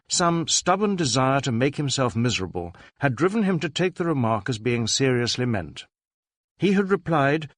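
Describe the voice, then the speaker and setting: A man reading a novel aloud as scripted narration, in a measured, formal storytelling manner. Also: medium pitch, no room sound, studio quality. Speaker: audiobook narrator.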